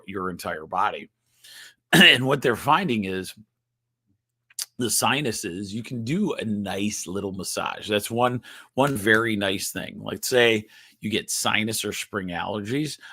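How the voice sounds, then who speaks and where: A man with a nasal voice, a chiropractor hosting a live-streamed health explainer, who talks haltingly with frequent restarts and keeps checking in with the audience. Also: fairly low pitch, sharp tone, dry recording, clean studio sound. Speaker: chiropractor host.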